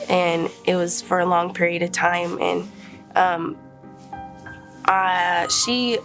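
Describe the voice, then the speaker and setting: A young woman from Idaho giving halting personal testimony, full of pauses and fillers. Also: fairly low pitch, level tone, fairly bright tone, faint music behind, poor audio. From Idaho, USA. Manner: hesitant, halting, many fillers. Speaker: interviewee.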